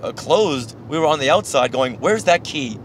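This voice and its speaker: A man's voice: a little high, lively intonation, moderate pace, a little hiss or hum. A man with an American accent co-hosting a podcast in casual, chatty style. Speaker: podcast co-host. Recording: music behind the voice. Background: music.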